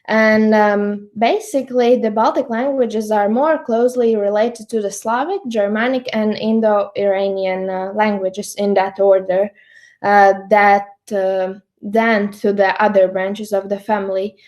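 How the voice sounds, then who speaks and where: A young woman with a Latvian accent gives an online presentation, pausing often with fillers. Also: medium pitch, fairly even intonation, darkish tone. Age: young adult. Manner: hesitant, many fillers. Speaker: student lecturer.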